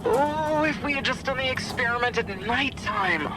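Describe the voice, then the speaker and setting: Actress as a fussy, worried scientist. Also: female voice, sits high, normal speed, noisy background, close to an everyday voice. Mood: regretful. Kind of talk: video game voice acting.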